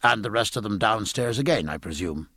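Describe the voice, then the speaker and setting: A man voicing an inquisitive, learned gentleman in an audiobook, formal and precise, questioning life aboard ship. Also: on the low side, animated, fairly quick, no room sound, clean studio sound, full character voice. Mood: mild, hesitant. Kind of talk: audiobook character acting.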